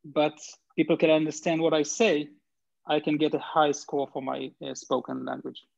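Brief: Hebrew-accented man, forties, halting speech with lots of fillers, a gravelly edge, muffled dry video-call sound. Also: fairly high pitch, little pitch movement, no room sound. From Israel. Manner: hesitant, frequent fillers and restarts. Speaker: company founder presenting. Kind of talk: video call presentation and answers. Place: home room with bookshelves. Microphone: laptop video call.

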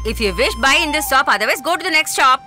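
A woman's voice: high voice, expressive, fast talker, bright, forceful tone, soft background music. A woman dubbing a blunt old female vegetable seller who scolds a customer. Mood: scolding.

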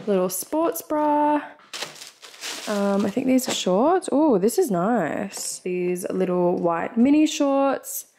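A woman's voice: fairly high pitch, slight background noise. A woman in her twenties with an Australian accent, chatting casually and gushingly in a vlog style. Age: 20s. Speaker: vlogger. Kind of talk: casual vlog chat, unboxing.

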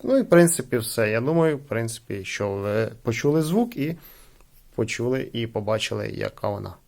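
A man's voice: mid-range voice, lively intonation, fairly quick, vocal fry, a little mellow, slight background noise. A man giving casual, conversational unboxing commentary as a host, hesitating and leaning on filler phrases. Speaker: product unboxing host and reviewer.

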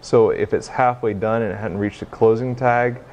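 A young man giving an informal tech demo talk in a hesitant, halting way, recorded in a meeting room. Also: fairly low pitch, fairly even intonation, darkish tone, a little hiss or hum. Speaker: presenter, game developer. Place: indoor hackerspace meeting room, small stage.

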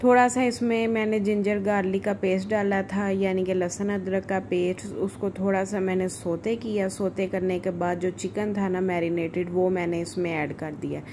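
A woman home cook talks through a recipe in a casual, chatty way. Her voice is soft-edged and mellow at a medium pitch, and it stays fairly flat. There is noticeable noise behind the voice-over. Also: normal speed, hardly any pauses. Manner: informal, chatty, homely asides. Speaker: home cook, cooking channel host. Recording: voice-over with clear background noise.

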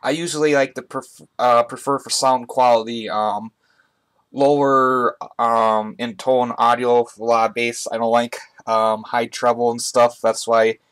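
A man with a mid-pitched voice talks through a hobby gear review in a casual way. His delivery is halting and conversational, picked up by a webcam in a room at home. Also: level tone, fairly bright tone, clear, resonant tone. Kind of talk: casual product review to camera. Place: indoors, small room at home. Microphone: webcam on a tripod, speaker off camera.

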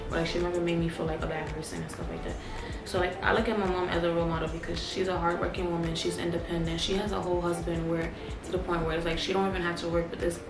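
A young woman from Haiti and Harlem, chatting loosely on camera with lots of slang and fillers. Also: lowish voice. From Haiti, then Harlem, New York. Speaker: YouTuber. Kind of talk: casual get-to-know-me chat. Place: living room, seated on a sofa. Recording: echoey room with loud music behind.

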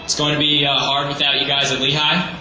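A teenage boy giving an earnest, slightly halting graduation speech as salutatorian. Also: a little high, monotone, bright, forceful tone. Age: teens. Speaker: graduating student. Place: large hall or gym. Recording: echoey hall, far from the mic, noisy.

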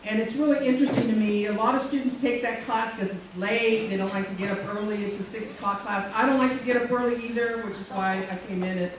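A middle-aged woman with a strong, loud voice gives a chatty, joking talk with many fillers to a conference audience. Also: a little high, fairly even intonation, fast talker, hardly any pauses. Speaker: speaker. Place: civic center meeting room. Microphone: handheld mic, far camera. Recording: echoey hall from far off, noisy.

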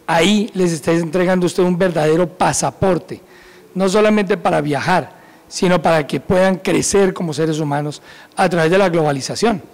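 A man in his forties, a police school director, calmly explaining an educational point in an office. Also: a little high, moderate pace, vocal fry. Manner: calm, instructive, laughs easily. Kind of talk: educational segment talk. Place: indoor office with bookshelves. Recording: echoey room.

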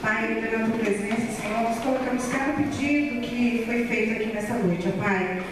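Woman leading closing prayer, fairly high voice, distant and echoey. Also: moderate pace. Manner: steady, flowing sentences ending on "ó Pai". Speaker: church member leading prayer. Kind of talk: spoken congregational prayer. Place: large church hall. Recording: echoey church hall, distant mic, background noise.

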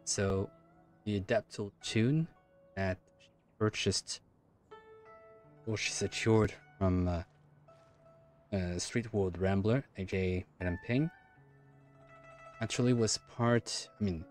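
A man in his thirties reacting to a game as a streamer, musing haltingly and repeating words as he reads aloud. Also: on the low side, faint music behind. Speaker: gaming streamer. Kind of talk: live game reaction commentary, reading text aloud.

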